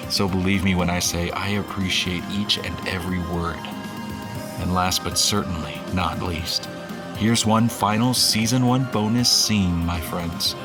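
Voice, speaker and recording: A male creator-host reads a scripted outro to his audience in a fairly low voice. The delivery is earnest and appreciative, with music clearly playing underneath. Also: fairly even intonation, measured pace. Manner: earnest, grateful address to listeners. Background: music bed.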